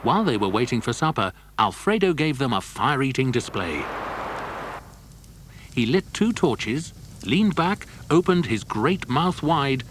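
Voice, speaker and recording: A man's voice: mid-range voice, sing-song, a little slow, background noise. A man narrating a children's adventure story from a script.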